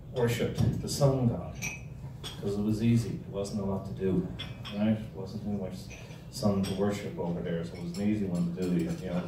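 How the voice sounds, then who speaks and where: An Irish man in his sixties giving a wry, rambling, humorous history talk to a club. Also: deep voice. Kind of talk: humorous informal history lecture with slides. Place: indoor hall with projection screen. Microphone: handheld or stand microphone, recorded from audience camera. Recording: echoey room, distant mic, noisy background.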